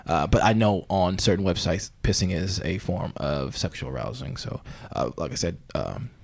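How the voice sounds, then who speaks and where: A man playing a bumbling, earnest talk-show host in an improvised comedy interview, with halting, rambling phrasing. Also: low voice, expressive, gravelly, husky, full character voice. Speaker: podcast host.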